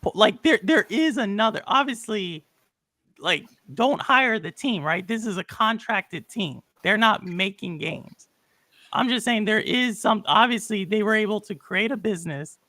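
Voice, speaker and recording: High, lively-voiced host, dry close sound. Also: male voice, a little slow, no room sound. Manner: hesitant, restarts phrases while arguing a point. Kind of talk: casual podcast chat.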